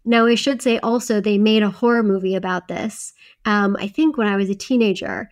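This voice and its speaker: A woman's voice: mid-range voice, fairly even intonation, fairly quick. A woman co-hosting a podcast, talking in a casual, reflective conversational style. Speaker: podcast co-host.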